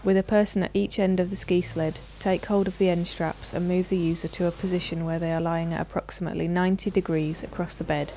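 A woman reads scripted instructional narration in a measured, formal, clearly enunciated manner. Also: lowish voice, little pitch movement, mellow, slight background noise. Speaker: narrator of a product training video.

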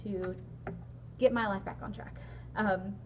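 A young woman with a hoarse, raspy voice from losing it, chatting casually as host of a sit-down interview vlog with lots of ums. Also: mid-range voice, fairly even intonation, unhurried, background noise. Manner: chatty, frequent ums, laughs along. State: hoarse, lost voice. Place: large indoor hall.

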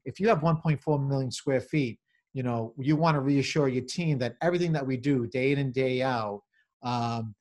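A middle-aged man answering interview questions on a video call as a venue manager, in halting corporate phrasing with repeated words. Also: mid-range voice, a little mellow. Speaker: convention center general manager, interview guest. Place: small office, indoors. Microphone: computer or webcam on video call.

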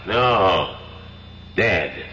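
An actor playing a subdued police sergeant in a 1970s radio drama. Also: male voice, low-pitched, expressive, extremely slow, bright, forceful tone, exaggerated voice. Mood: grim. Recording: dull, slightly noisy 1970s radio recording.

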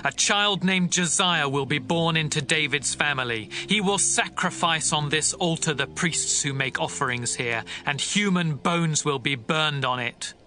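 An actor playing a stern, resolute prophet, declamatory and firm, in a dramatised scripture reading. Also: male voice, a little high, measured pace, hardly any pauses, sharp tone. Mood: proclaiming. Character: stern, resolute male prophet delivering divine warnings.

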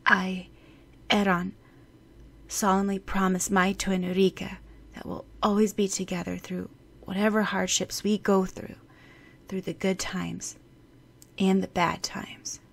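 A woman voicing a seven-year-old boy who is protective of his twin, speaking in a gentle, reassuring way. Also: mid-range voice, flat delivery, unhurried, creaky, pauses often, breathy edge. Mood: solemn.

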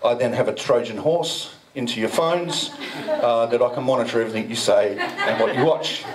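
A man's voice: mid-range voice, normal speed, over a noisy crowd. A middle-aged man giving a joking, self-mocking talk at a meetup, speaking into a handheld mic in a dim event room. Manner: cheeky, self-mocking, banters with the audience. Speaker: presenter at a blockchain meetup.

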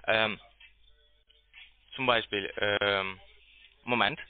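A man with a foreign accent, not a native speaker, making a halting sales call and searching for words in broken phrasing. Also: fairly low pitch, fairly even intonation. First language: not German. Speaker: phone sales agent pitching investments. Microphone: telephone. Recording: tinny, muffled phone line.

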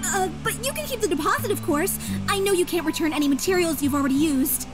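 A timid young lady customer from a video game. Her voice is very high, polite and hesitant, apologetic in manner, with music clearly behind it. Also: expressive, fast. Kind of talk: scripted video game voice acting.

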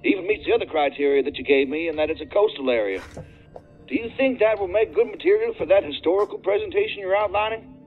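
Chatty corporate contact: polite man, high-pitched, tinny phone-line sound. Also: lively intonation, fast talker, faint music behind. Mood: courteous, chatty. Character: courteous, talkative male corporate research contact. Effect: phone or intercom filter. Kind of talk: naturalistic audio drama acting.

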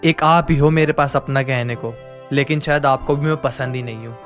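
An emotional young younger brother, voiced by a man, talks in a plaintive, everyday way with a mid-pitched voice. It is cartoon drama with music underneath. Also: fairly even intonation, brisk, fairly bright tone, lightly acted. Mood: hurt, sulky. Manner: plaintive, colloquial. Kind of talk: animated story dialogue.

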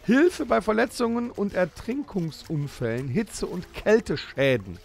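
A man's voice: sits high, big pitch swings, unhurried, vocal fry, darkish tone. A man co-hosting a comedic improvised audio play, reading out rules with eager, insistent emphasis. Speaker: podcast co-host.

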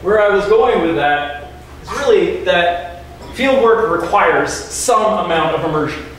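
A middle-aged man presents as an academic lecturer. His voice sits a little high. He is heard from far off in a strongly reverberant classroom, with clear background noise. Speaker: geography lecturer. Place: university classroom, indoors. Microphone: distant camera microphone. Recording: echoey room, distant mic, noisy.

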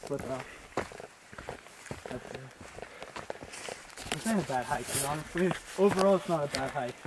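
A young man with a fairly high voice talks casually and bluntly, full of slang. He is outdoors on a trail, picked up by a camera mic with plenty of noise. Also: normal speed. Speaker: hiking companion. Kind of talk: casual chat. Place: outdoors on a forest mountain trail. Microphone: handheld camera microphone. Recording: noisy outdoor camera audio, handling clicks.

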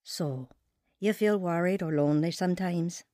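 Woman acting devout care worker, radio drama, low mellow voice, gentle, patient, earnest. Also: normal speed, dry recording, clean studio sound, natural voice. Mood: gentle, reassuring.

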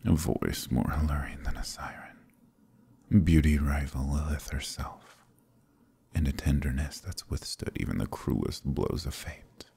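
Very deep, gravelly and dark, this is a suave, possessive demon prince, played by a man in an audio roleplay. He murmurs close to the mic in a husky, purring near-whisper, commanding and indulgent at once. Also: a little slow, full character voice. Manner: hushed, purring, often whispering. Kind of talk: ASMR roleplay.

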